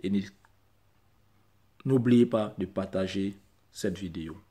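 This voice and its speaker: A man's voice: deep voice, slow pace, mellow. A man whose first language is not French, talking straight to camera in an insistent, repetitive way and building to emphatic peaks. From Central Africa. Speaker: vlogger.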